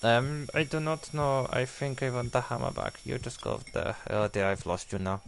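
A man's voice: mid-range voice, normal speed, gravelly, slight background noise, lightly acted. A man voicing a bungling, apologetic foreign surgeon with a put-on accent and stilted, flustered delivery in a gaming commentary. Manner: flustered, exclaiming, stilted uncontracted phrasing, swearing. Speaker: video game player.

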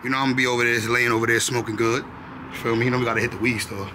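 A man's voice: mid-range voice, little pitch movement, racing. A young man in his twenties giving a casual, slangy walkthrough of a rental apartment, repeating his phrases and often asking whether listeners follow. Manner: laid-back, repeats phrases, keeps asking "you know what I'm saying". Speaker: vlogger giving an apartment tour. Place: rental apartment, indoors then rooftop. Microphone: handheld camera held close. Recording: echoey rooms with clear background noise.

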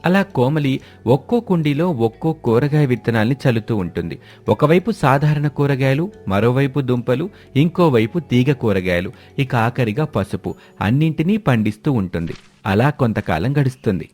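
A man narrating an animated moral story in a steady storytelling manner. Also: medium pitch, normal speed, faint music behind.

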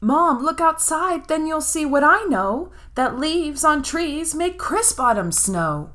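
A woman voicing an excited, bossy big-sister girl in a children's storybook, exclaiming and coaxing. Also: high-pitched, takes it slowly, voice pushed up, full character voice. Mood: excited pleading.

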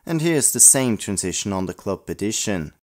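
Guy with a low voice doing a narrated collector video. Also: sing-song, moderate pace, over loud music. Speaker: video host, audio play collector. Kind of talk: scripted retrospective narration.

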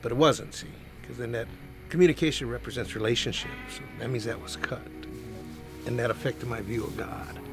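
A man in his sixties, blunt and plain-spoken, telling about his father in an outdoor documentary interview on a boom mic. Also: fairly quick, gravelly. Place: outdoors in a rural yard. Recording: loud music underneath.

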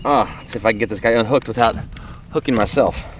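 A man's voice: mid-range voice, fast. Folksy man vlogging over faint outdoor noise. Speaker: fishing show host. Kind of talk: casual enthusiastic vlog talk. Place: open boat on a lake.

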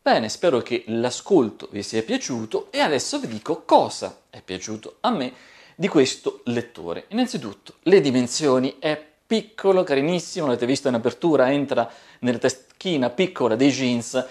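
A man in his forties hosting a casual product review, playful and expressive, drawing out words for emphasis. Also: medium pitch, moderate pace. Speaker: tech reviewer, video host. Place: small home studio room with desk and monitors.

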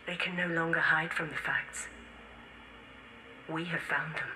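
An actress playing a defiant rebel woman leaving a grave final testament in a science-fiction game audio log, solemn, urgent and deliberate. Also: low-pitched, steady tone, noisy background. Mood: grave, conspiratorial.

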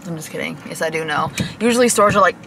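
A woman's voice: low voice, quick pace, vocal fry, very noisy. A woman of twenty chatting to her phone camera from a parked car, gushing and casual, often saying y'all. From Michigan. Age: 20s. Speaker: vlogger.

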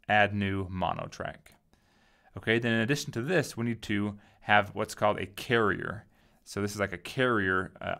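A man giving a casual software tutorial, informally thinking aloud as he goes. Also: on the low side, vocal fry. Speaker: tutorial host.

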